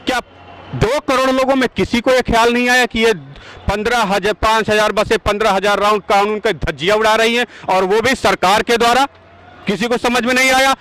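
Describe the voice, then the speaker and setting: A man from Azamgarh, India, speaking as a campaign organizer in a street interview at a busy road junction, arguing forcefully and firing rhetorical, indignant questions. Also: high voice, fairly even intonation, brisk, clear, resonant tone, low-quality recording.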